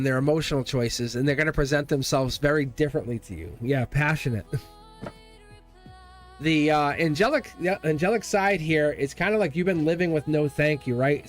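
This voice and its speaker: Chatty, laughing male tarot streamer. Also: on the high side. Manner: chatty, laughs often, teasing. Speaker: tarot reader. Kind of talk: live-stream tarot reading.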